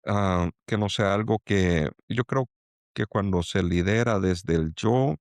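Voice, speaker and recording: A middle-aged man from El Salvador with a Salvadoran accent and a low, gravelly voice. He talks in a halting, reflective way, with lots of fillers and restarts. The sound is dry and close. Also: no room sound. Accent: Salvadoran Spanish. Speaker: angel investor guest. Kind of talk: reflective interview talk.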